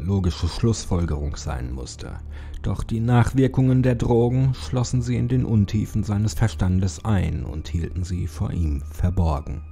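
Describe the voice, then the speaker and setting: A man narrating a horror story as a scripted, dramatic audiobook, in a brooding, suspenseful way with deliberate pauses. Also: low voice, gravelly, mellow.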